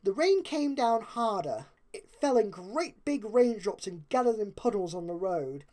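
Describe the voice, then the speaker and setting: A woman reads a story aloud as its narrator. Her voice is soft-edged and mellow, at an average pitch. The delivery is expressive, with wide, lively rises and falls. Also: unhurried.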